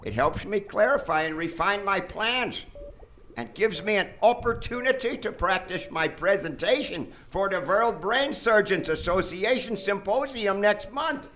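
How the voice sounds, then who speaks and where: A man's voice: high voice, expressive, unhurried, sharp tone, exaggerated voice. A man playing a vain, megalomaniac mad surgeon in a live comedic radio drama, pompous and grandiose. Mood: explaining, smug. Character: vain, megalomaniac mad brain surgeon, absurdly boastful villain. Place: theater stage before an audience. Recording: noisy live theater stage recording.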